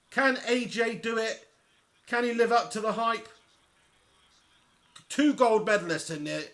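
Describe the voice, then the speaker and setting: A man giving chatty, excitable live commentary on a boxing match and talking straight to his viewers. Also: high-pitched. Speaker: livestream fight commentator.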